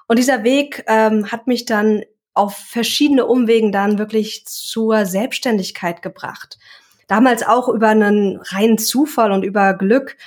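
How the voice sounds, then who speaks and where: A woman's voice: on the high side, measured pace. A young woman, a self-employed coach, talking reflectively in a casual interview and now and then searching for words.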